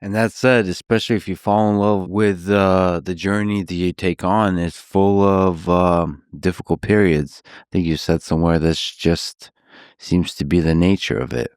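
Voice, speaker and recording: Male podcast host, low voice, slow and measured, dry close mic. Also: fairly even intonation, clean tone, no echo. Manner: slow, measured, reads a long post aloud. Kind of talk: long-form interview.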